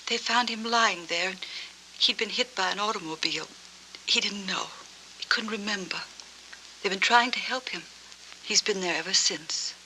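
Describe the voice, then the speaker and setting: Lonely, wistful woman, bright-voiced 1940s noir. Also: mid-range voice, a little hiss or hum, low-quality recording. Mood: wistful, distant. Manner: halting, dreamy, melancholy delivery with breathy hushed phrases. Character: lonely, wistful woman with a fragile, hazy memory. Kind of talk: 1940s film noir acting. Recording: old 1940s film soundtrack, music behind.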